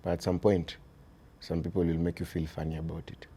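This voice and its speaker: A man with a Kenyan accent and a very deep voice, talking earnestly as an interview guest about raising his sons, often dropping "you see" and shifting into Swahili. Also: flat delivery, brisk, warm tone, a little hiss or hum. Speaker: guest, father of boys. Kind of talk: reflective conversational interview.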